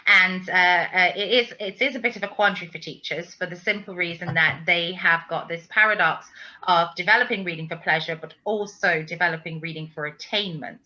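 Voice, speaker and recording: A woman's voice: lowish voice, sharp tone. A woman, a teacher hosting a book talk interview, speaking effusively on slightly dull-sounding audio.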